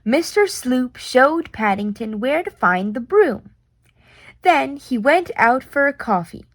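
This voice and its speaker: A woman reads a children's story aloud in a high, very lively voice. She takes her time over each phrase and adds playful, expressive touches for young listeners. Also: no echo. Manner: animated, deliberate, with playful reactions. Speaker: storyteller reading a picture book aloud.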